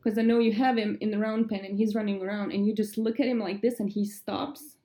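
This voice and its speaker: Croatian-born woman guest, warm low-key tone, excited and laughy. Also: fairly quick. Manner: lively, laughing, enthusiastic recollection. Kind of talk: casual interview chat.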